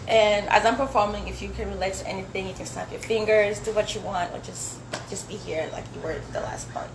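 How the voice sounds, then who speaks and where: A young woman with an African accent and Swahili as her first language performs spoken word poetry. Her pitch sits in the middle for a woman. The room echoes around her and there is clear noise in the background. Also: normal speed. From Zambia, later the United States. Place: indoor dinner event with audience.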